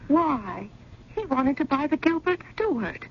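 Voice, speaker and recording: A woman's voice: high voice, very animated, slow, full character voice. Cool self-assured lady, bit challenging, old radio play, muffled hissy sound. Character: Eleanor, cool, self-assured younger woman, curator's cousin. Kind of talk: 1970s radio drama acting. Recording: noisy, band-limited 1970s radio broadcast.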